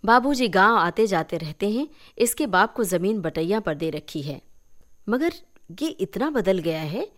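A woman narrating a short story in an expressive storytelling style. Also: medium pitch, measured pace. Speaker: story reader.

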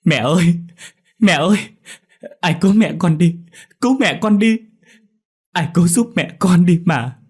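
A man's voice: sits high, animated, measured pace, long pauses, husky, voice pushed up, heavily acted. A man voicing a distraught young boy in a story, wailing and pleading for his mother. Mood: desperate pleading. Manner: wailing, pleading, stammering when shocked.

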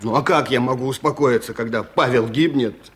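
A man's voice: medium pitch, fairly quick, slight background noise, close to an everyday voice. An actor in a Soviet radio play, playing a nervous, thin village foreman who complains with agitated sarcasm. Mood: anguished.

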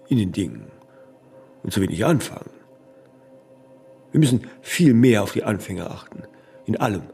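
An actor playing a stubborn, impatient ageing artist in a radio play, husky and creaky, insistent, often ending on small tag questions. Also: male voice, lowish voice, mellow, full character voice. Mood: musing, persuading.

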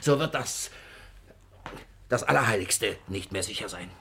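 A man's voice: lowish voice, measured pace, sharp tone, a little hiss or hum. An actor in a classic German radio play playing a miserly old master, frantic about his stolen money, stammering, wailing and ranting. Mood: indignant, demanding.

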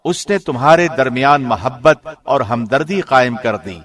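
Male radio reader, calm and formal, steady measured scripture translation in a studio. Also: medium pitch. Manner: calm, even, formal delivery. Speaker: radio host reading a translation.

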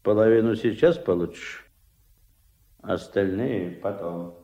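An actor playing a gruff, shrewd, middle-aged fish farm boss in a radio play, giving curt orders in a gravelly voice. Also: male voice, on the low side, slow, mellow. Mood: overbearing. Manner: curt, bossy, clipped commands. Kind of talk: Soviet radio play acting. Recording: dull, lo-fi old radio recording.

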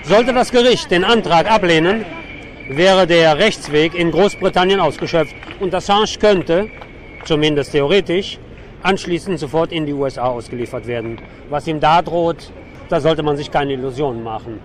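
A man's voice: fairly high pitch, normal speed. A man giving an on-location radio report from a protest outdoors, with an opinionated, sardonic edge. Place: outdoors on a city avenue at a demonstration. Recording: noisy city street with traffic. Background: clear street and traffic noise.

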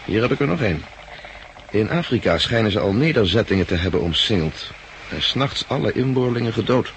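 An actor in a 1960s radio drama playing a shrewd, brooding farm worker who speaks gravely and deliberately. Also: male voice, lowish voice, animated. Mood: grim, musing. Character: brooding male plant-farm worker, shrewd, grimly warning. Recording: old radio recording, faint noise.

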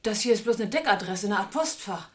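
An actress playing a sharp-witted, businesslike woman investigator in a German radio drama. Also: mid-range voice, lively intonation, a little fast, lightly acted.

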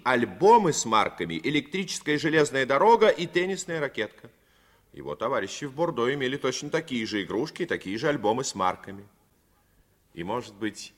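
A male narrator of an old radio play, telling the story in a measured way. His pitch is average, but his delivery is lively and expressive.

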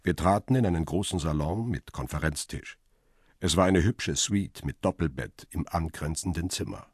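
A man's voice: very deep voice, animated, moderate pace, breathy edge, no echo, clean studio sound, heavily acted. An actor playing a world-weary middle-aged photographer, telling his own story soberly and laconically in a radio crime drama. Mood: sober, observant. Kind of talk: first-person radio crime drama narration.

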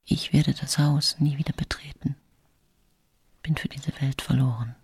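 An actress playing a secretive, fearful middle-aged woman accused of poisoning, in a husky whispered radio drama monologue. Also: a bass voice, fairly even intonation, measured pace, a little mellow, dry recording, studio quality, exaggerated voice. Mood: hushed, resigned.

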